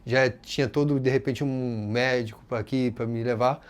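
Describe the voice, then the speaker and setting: A man's voice: medium pitch, moderate pace. Casual man with a carioca accent. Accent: Rio de Janeiro Portuguese. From Rio de Janeiro, Brazil. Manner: casual and expressive, voices others' words in quotes. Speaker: channel host. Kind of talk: personal storytelling to camera.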